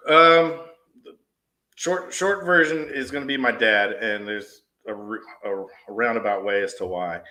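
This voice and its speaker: Bright-toned man in his forties. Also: lowish voice, a little slow, poor audio. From US East Coast. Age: 40s. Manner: rambling with frequent restarts. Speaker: podcast guest, dispatch shift supervisor. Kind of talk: casual interview storytelling.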